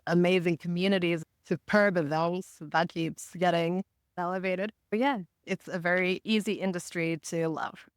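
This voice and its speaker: A woman who grew up in Botswana speaks as an interview guest, her voice crisp and on the low side. She is articulate and measured, with a positive way of putting things. The sound is close and dry, with very little room in it. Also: no echo. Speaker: podcast guest, marketing director. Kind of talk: reflective interview answers.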